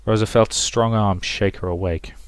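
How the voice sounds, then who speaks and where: A man reading a visual novel aloud as expressive story narration, as a let's player. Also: on the low side, moderate pace, clear, resonant tone, no echo. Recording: music behind the voice.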